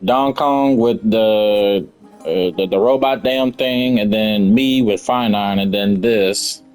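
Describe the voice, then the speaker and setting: A man's voice: on the low side, takes it slowly, a little mellow, clean tone, faint music behind. A man giving casual, slangy reaction commentary over a video game. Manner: slangy, informal, reacting aloud. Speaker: gaming video host.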